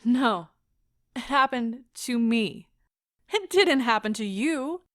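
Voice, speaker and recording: A woman playing an anguished, pleading lover in a stage-style audio drama, with a theatrical, impassioned and deliberate delivery. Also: fairly high pitch, expressive, a bit bright, no echo, studio quality. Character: anguished, pleading woman leaving a broken love affair.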